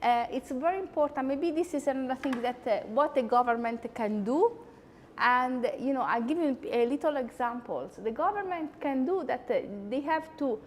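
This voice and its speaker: Italian-accented woman host, high bright voice, chatty, long reverb. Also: normal speed, slight background noise. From Italy. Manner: chatty, animated, frequent asides. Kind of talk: lecture-style talk.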